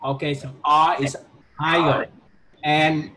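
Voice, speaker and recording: A man with a Vietnamese accent and a slightly high voice teaches English pronunciation to a class. He speaks haltingly, repeats himself and tags phrases with "huh?". There is faint noise in the recording. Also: flat delivery, sharp tone. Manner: halting, repetitive, ends phrases with a questioning "huh?".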